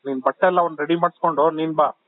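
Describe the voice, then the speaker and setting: Man posing as insistent hospital doctor, Karnataka accent, slightly high voice, quick delivery, veiled threats, prank call. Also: steady tone, no echo, lightly acted. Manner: insistent, talks over objections. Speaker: prank caller. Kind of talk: improvised prank phone call. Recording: tinny narrowband phone line.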